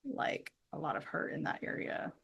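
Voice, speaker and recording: A woman's voice: normal speed, fairly bright tone, dull audio. A woman co-hosting a podcast with her husband, talking casually and agreeably and often affirming him with short "yeah"s. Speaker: podcast co-host.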